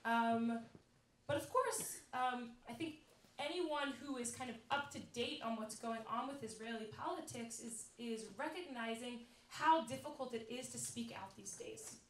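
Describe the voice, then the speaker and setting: Woman on a panel explaining steadily, higher voice, echoey room, mic a bit far. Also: a little slow. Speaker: panelist, organization representative. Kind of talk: answering audience questions at a panel.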